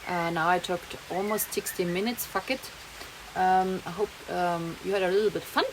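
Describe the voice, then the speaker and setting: Woman, German accent, rambling and chatty, slightly low pitch, noisy rainy background. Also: steady tone, moderate pace. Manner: rambling, chatty, laughs often, slips German words in. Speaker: vlogger. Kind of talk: casual monologue to camera. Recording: noisy background, rain heard.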